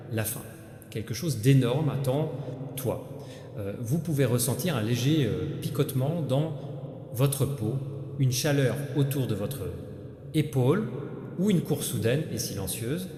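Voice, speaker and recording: Man, dark mellow tone, medium pitch, solemn halting inspirational monologue, heavy echo. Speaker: narrator of spiritual messages. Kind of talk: scripted inspirational monologue addressed to the listener. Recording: echoey hall with long reverb.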